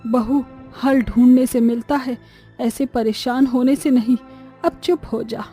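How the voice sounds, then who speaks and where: Woman playing a mellow, kindly older mother-in-law. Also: fairly high pitch, fairly even intonation, unhurried, faint music behind, natural voice. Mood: reproving, calming.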